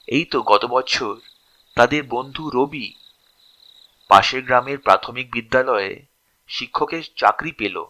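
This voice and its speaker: A man narrating a scripted story expressively, in short phrases with dramatic pauses. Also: mid-range voice, bright, forceful tone, poor audio.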